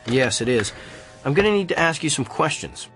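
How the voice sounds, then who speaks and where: A man's voice: fairly high pitch, very animated, moderate pace, a bit bright, soft background music. An actor playing a blunt, tactless male doctor in a radio drama, speaking in a detached, matter-of-fact way. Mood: detached, clinical.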